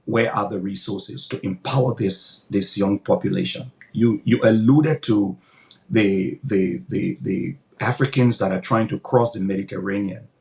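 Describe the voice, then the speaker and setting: A man's voice: lowish voice, measured pace, gravelly, a little mellow. An older man, a pastor giving earnest, emphatic interview answers with a preaching cadence, often repeating words as he builds his points.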